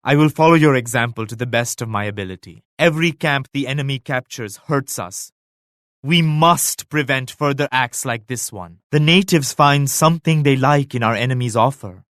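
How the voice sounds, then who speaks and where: An actor voicing a devout, dignified Mughal emperor in a video game, speaking in a solemn, formal, measured manner. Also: male voice, medium pitch, fairly bright tone, no echo, studio quality, natural voice. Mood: solemn counsel. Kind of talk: scripted video game character lines.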